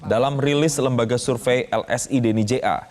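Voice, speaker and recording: A man's voice: medium pitch, normal speed, a little mellow. A man reading scripted news narration as a presenter.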